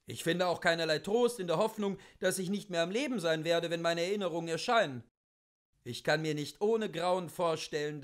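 A man giving an expressive, theatrical reading of a literary memoir, with emphatic rhetorical phrasing. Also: on the high side. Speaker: literary reader.

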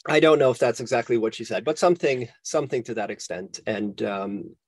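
A man's voice: medium pitch, fairly quick, breathy edge, no echo. A man in his forties from Sweden, a guest historian talking reflectively in an interview, with many restarts and fillers. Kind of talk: casual interview conversation.

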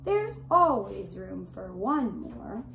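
A woman's voice: mid-range voice, sing-song, slow, vocal fry, fairly bright tone, full character voice. A woman voicing a big, pushy bear in a children's puppet story, blunt and insistent. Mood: brusque, insistent. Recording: roomy echo, faint background noise.

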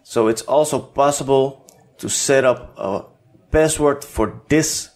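Man, Dutch accent, non-native English, halting tutorial narration, mid-range voice. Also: medium pitch. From Netherlands. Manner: hesitant, many filler sounds and restarts. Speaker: tutorial host. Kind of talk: unscripted screen-recorded software tutorial.

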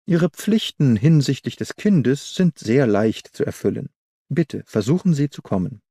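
A man narrates a scripted detective story with a soft, mellow tone. His delivery is measured but expressive, at a middle pitch. The sound is close and very dry. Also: husky, no echo. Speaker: audiobook narrator.

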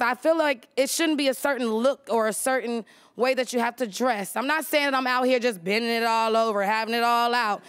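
A woman's voice: a little high, a bit bright, clear, resonant tone. An American woman in her thirties, speaking earnestly and emphatically as an audience member asking for advice. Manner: emphatic, repeats phrases for stress. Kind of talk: earnest personal question on a talk show. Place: TV studio audience. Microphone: microphone held in front of her.

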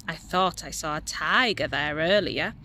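A woman voicing a playful, coaxing grandmother in a children's storybook, animated, with some background noise. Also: sits low, a little slow. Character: playful grandmother spinning garden wonders for a child.

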